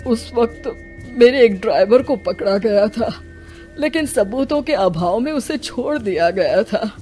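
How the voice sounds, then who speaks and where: Woman voicing a slow, grieving elderly village headman. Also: on the high side, animated, warm tone, faint music behind. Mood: sorrowful, earnest. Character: grieving elderly village headman, weary father mourning his son. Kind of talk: Hindi animated moral story dialogue.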